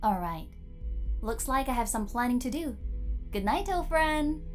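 A woman's voice: a little high, lively intonation, measured pace, music in the background. A woman playing a cheeky, theatrical female AI therapist in a science fiction audio drama, playful, bossy and self-satisfied. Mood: teasing, familiar.

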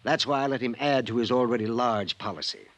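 A man's voice: mid-range voice, animated, a little fast, no echo, muffled recording, natural voice. An actor playing a troubled, wistful insurance man in a 1950s radio drama, earnest, hesitant and circling his point. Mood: earnest, grave. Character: rambling, troubled male insurance man, wistful old family friend. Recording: vintage 1950s radio recording.

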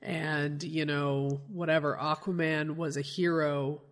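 A woman co-hosting a comic book podcast in casual chat, a little croaky from a cold, chatty and laughing easily. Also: low-pitched, level tone, measured pace. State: getting over a cold, slightly croaky. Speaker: podcast co-host.

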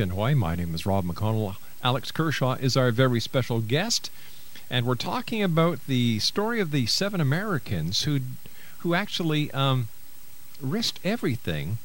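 A man's voice: mid-range voice, poor audio. A man hosting a live radio talk show, interviewing and announcing to listeners.

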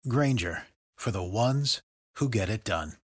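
Low-pitched, dry commercial voice-over man. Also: lively intonation, a little slow, breathy edge, no room sound. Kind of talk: scripted advertisement narration. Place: studio.

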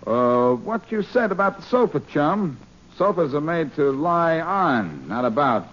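A swaggering, sardonic detective in an old-time radio mystery. His pitch swoops freely as he tosses out wisecracks and pointed questions. The recording is dull, with some background noise. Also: very animated, unhurried. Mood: smug. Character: wisecracking, cocksure male detective on a murder case. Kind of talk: 1940s radio drama acting.

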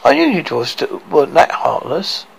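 A man's voice: fairly high pitch, wildly swooping pitch, moderate pace, vocal fry, husky, heavily acted, low-quality recording. A man voicing an indignant young princess in a fairy tale, protesting and exclaiming. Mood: grateful.

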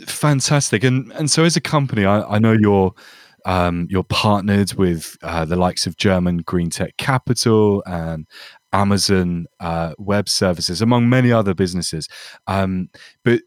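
A man hosting a podcast interview, asking questions in an encouraging, slightly halting way. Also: deep voice, animated, no room sound, studio quality. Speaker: podcast host.

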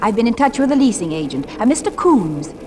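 An actress playing a gentle but determined young widow, polite and well-spoken, in 1940s radio drama style. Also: female voice, a little high, very animated, brisk, crowd noise. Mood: calm, resolute. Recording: noisy 1940s radio broadcast.

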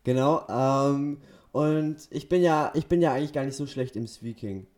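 A young man co-hosting a podcast in casual chat, telling stories haltingly and often correcting himself. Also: mid-range voice, a little mellow. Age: teenager or young adult. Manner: halting, self-correcting storytelling. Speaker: podcast co-host.

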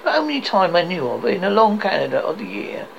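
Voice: mid-range voice, wildly swooping pitch, brisk, creaky, voice pushed up. A reader voicing a cheerful, good-hearted young nephew with an earnest, pleading manner, as in a storybook. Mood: earnest, warm-hearted. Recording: dull audio with faint noise.

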